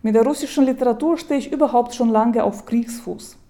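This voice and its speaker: A woman giving a lecture, speaking in a measured way, with hesitations and restarts, and reading quotations aloud. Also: fairly high pitch, dark tone.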